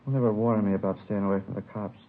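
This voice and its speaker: A man's voice: fairly low pitch, steady tone, very fast, narrow, tinny audio, lightly acted. A man playing a meek, worried teenage boy in a 1950s radio drama, speaking hesitantly and apologetically. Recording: noisy, band-limited vintage radio recording.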